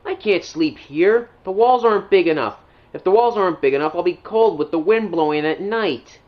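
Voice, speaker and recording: A man's voice: high-pitched, animated, normal speed, lo-fi sound, voice pushed up. A man voicing a cocky newcomer tank engine in a children's train story, brash and prone to whining. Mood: whiny, rude. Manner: brash, eager, whiny when displeased.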